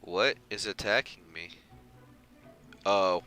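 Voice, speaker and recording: A man's voice: on the low side, takes it slowly, bright, forceful tone, soft background music. A man giving casual live gameplay commentary as a streamer, slangy and given to swearing and mimicry. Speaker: game streamer.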